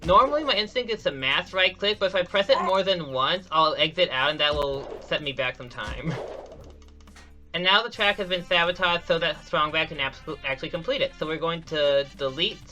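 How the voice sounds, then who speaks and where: A man's voice: a little high, animated, brisk, pushed and bright, lo-fi sound, faint music behind. A man giving live commentary on a speedrun, explaining each step and often correcting himself mid-sentence. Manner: explanatory, frequent self-corrections and restarts.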